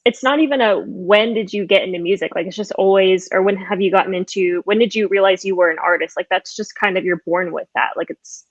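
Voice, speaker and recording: A woman's voice: medium pitch, a little fast, few pauses, no echo, lo-fi sound. A woman hosting a conversational podcast interview, speaking in a casual, enthusiastic way. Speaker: podcast host.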